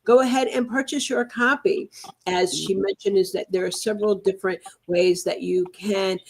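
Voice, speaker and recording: A woman's voice: medium pitch, steady tone, moderate pace, vocal fry, slightly rough, rough recording. A woman hosting a live book talk show, effusive and thankful, with frequent fillers. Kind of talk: casual book talk interview.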